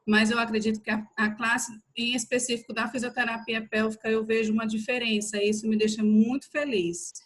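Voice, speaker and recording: A Brazilian woman in her thirties giving an informal online lecture, talking to her listeners in a familiar, conversational way. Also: medium pitch. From São Paulo state, Brazil. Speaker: physiotherapist teaching an online class.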